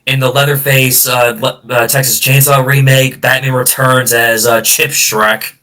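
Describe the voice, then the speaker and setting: A man hosting a casual film podcast in a rambling, halting way, often backtracking as he talks. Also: medium pitch, flat delivery, pushed and bright, recorded from a distance. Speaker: podcast host, film buff.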